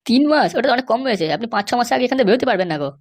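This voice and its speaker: A woman's voice: fairly high pitch, very fast. Woman as a sly, rattling cartoon lackey. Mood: mocking, wheedling. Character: sly male cartoon schemer and bribe-seeking jail constable. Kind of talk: amateur cartoon comedy dubbing.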